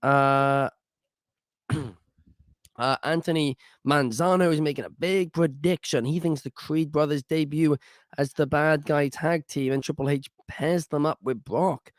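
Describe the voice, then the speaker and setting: A man from the United Kingdom, his voice raspy from a busy week, casually hosting a wrestling discussion and reading viewer comments. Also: on the high side, measured pace, dry recording. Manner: relaxed, reads viewer comments.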